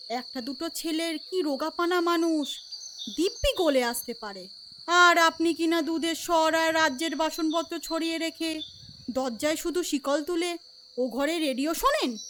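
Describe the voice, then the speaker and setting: A woman's voice: sits high, fairly bright tone, a little hiss or hum. A woman playing a gossipy neighbour in an audio story, exclaiming and reproachful as she talks. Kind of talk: dramatized audio story acting.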